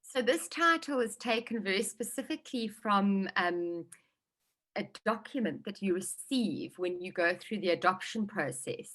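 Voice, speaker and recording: A woman's voice: medium pitch, animated, normal speed, fairly bright tone. A middle-aged woman with a South African accent answering interview questions thoughtfully, with many ums. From Johannesburg, South Africa. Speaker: novelist guest.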